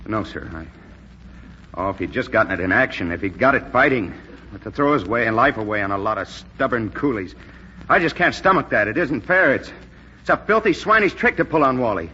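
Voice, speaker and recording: An actor playing a hard-headed, gloomy young American lieutenant in an old-time radio drama, with dry, emotional delivery. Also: male voice, fairly low pitch, lively intonation, fairly quick, sharp tone. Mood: bitter grief. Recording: noisy, dull vintage radio recording.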